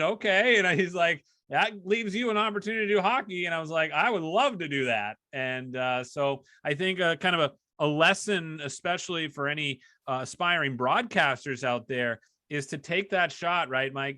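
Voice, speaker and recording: A man's voice: on the high side, normal speed, hardly any pauses, bright, forceful tone, no room sound, clean studio sound. A man hosting a casual interview, chatty and fond of telling anecdotes as a fellow sports broadcaster. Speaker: podcast host and hockey broadcaster.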